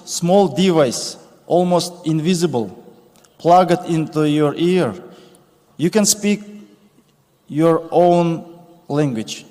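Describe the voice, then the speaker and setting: A man, giving a formal conference speech with slow, deliberate phrasing and pauses. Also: fairly high pitch, darkish tone. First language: Kazakh. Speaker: conference speaker. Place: large conference hall stage. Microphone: podium microphone. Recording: reverberant conference hall.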